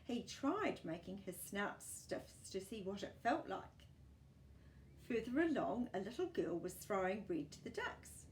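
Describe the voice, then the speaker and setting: Slow, very expressive woman reading a children's story. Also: medium pitch, echoey room, slight background noise. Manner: animated, encouraging, asks the listener questions.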